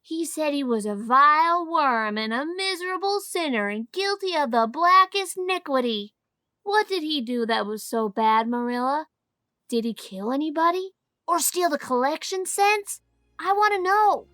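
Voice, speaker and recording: A woman's voice: high voice, lively intonation, moderate pace, bright, forceful tone, no room sound, clean studio sound. A woman voicing an inquisitive, outspoken little farm boy in an audiobook, talking in an earnest, childlike, questioning way. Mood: insistent, curious. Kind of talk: audiobook character acting.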